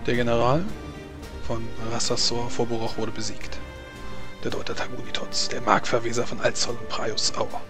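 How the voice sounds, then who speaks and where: Man, game master, tabletop role-play, medium pitch, faint background music. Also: completely flat delivery, moderate pace. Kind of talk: running an online tabletop role-playing game.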